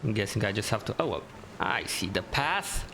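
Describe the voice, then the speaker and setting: A man's voice: on the low side, lively intonation, moderate pace, noisy background. A man giving casual, laid-back gameplay commentary, muttering to himself as he plays. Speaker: gamer. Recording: game music behind voice. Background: game music.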